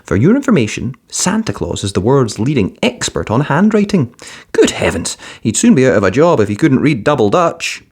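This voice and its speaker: A man voicing a patient penguin father in a children's storybook, speaking firmly and calmly with gentle teasing. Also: medium pitch, moderate pace, slightly rough, pitched above the performer's own voice. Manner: firm, calm, gently teasing.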